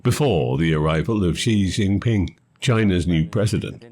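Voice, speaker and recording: A man reading scripted documentary narration in a measured, formal manner. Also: low voice, darkish tone. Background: background music.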